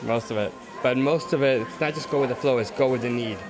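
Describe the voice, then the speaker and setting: A man from Cuba, a painter and photographer, answers interview questions in short, earnest bursts. His voice is mid-pitched. Music and a murmuring crowd sound behind him outdoors. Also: quick pace, poor audio. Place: outdoors at a busy art event.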